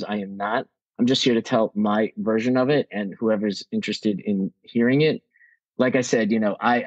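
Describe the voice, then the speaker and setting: A man's voice: mid-range voice, dry recording. A man from New York, a guest speaker telling his recovery story in a conversational, rambling way, full of restarts. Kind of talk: personal recovery story share. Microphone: video call. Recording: dull, dry video call audio.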